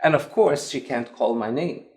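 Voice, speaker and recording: A man from Lebanon with an English accent, a guest recounting his past in an interview with animated, emphatic delivery. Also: medium pitch, normal speed. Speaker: guest, evangelist. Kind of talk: interview storytelling.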